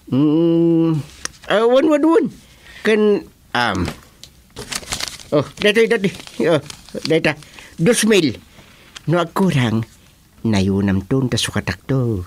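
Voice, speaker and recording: Actor as slow, stammering village chairman. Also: a little high, big pitch swings, a little mellow, slight background noise. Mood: hesitant, bargaining. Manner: stammering, repeating words. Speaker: actor in a radio comedy drama. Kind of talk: Ilocano radio comedy drama acting.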